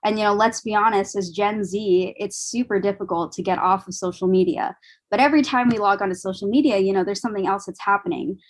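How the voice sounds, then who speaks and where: A woman in her twenties speaking as a guest on a podcast roundtable, earnest and measured, with frequent "um"s. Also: medium pitch. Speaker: guest, doctoral student.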